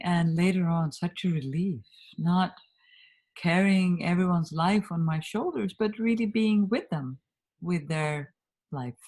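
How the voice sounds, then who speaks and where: A woman in her fifties with a Swedish accent, a Swedish native speaker, talking earnestly and reflectively as an interview guest over a video call, laughing often and letting her sentences trail off softly. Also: lowish voice, vocal fry, breathy edge. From Sweden. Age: 50s. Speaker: guest, communication trainer.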